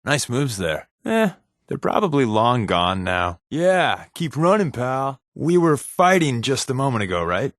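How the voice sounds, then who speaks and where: A man's voice: medium pitch, very animated, measured pace, fairly bright tone, no room sound, studio quality. A man voicing a wisecracking, guarded undercover agent companion in a video game, with a wry, sarcastic, flippant delivery.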